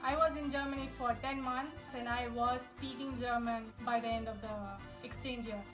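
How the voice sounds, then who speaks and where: High-voiced chatty woman. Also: fairly even intonation, normal speed. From India. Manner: chatty, upbeat, talks straight to viewers. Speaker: language-learning YouTuber. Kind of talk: casual tips video to camera. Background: guitar music.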